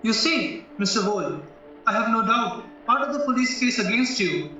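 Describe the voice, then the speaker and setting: A man acts out a probing defence barrister in an audio drama, shrewd, formal and deliberate. His voice is high and forceful. The recording is dull and very echoey, as if far from the mic, with music behind. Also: expressive, full character voice. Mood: measured, shrewd. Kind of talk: stage-style courtroom audio drama acting.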